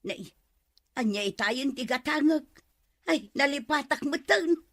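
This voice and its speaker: A long-suffering, grumbling village housewife voiced by a woman in a comedy audio drama. She gossips and complains in a somewhat high voice with a dry, close sound. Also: lively intonation, measured pace, no room sound. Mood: gossipy complaint. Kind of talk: comedy radio drama acting.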